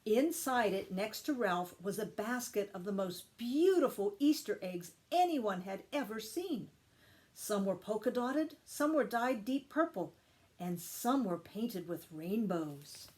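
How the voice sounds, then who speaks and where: A woman reading a children's story aloud with expressive, deliberate emphasis. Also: mid-range voice. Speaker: storytime reader, teacher.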